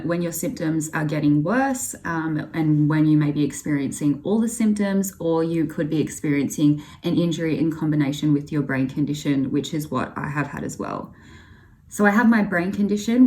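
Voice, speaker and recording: Low-voiced young Australian woman. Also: a little mellow, a little hiss or hum. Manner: candid, earnest, with fillers. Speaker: vlogger. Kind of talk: personal health story vlog.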